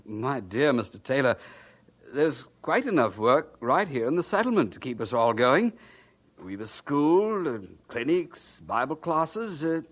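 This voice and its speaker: A man's voice: mid-range voice, very animated, measured pace, fairly bright tone. An actor playing a polite, flustered missionary in his thirties in an audio drama, hesitant and stammering. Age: 30s.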